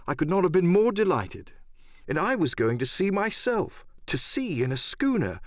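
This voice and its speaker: A man narrating a first-person adventure story as a dramatic audiobook, expressive and theatrical. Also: a little high, no room sound, clean studio sound.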